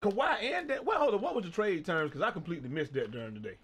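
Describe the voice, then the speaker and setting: A man hosting a casual sports chat show, animated and quick to shout out viewers. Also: high-pitched, husky. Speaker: podcast host.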